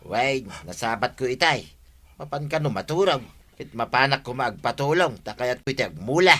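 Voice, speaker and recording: A man's voice: medium pitch, animated, moderate pace, fairly bright tone. A man acting in an Ilocano radio drama, playing an eager village neighbour. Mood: eager, pleading.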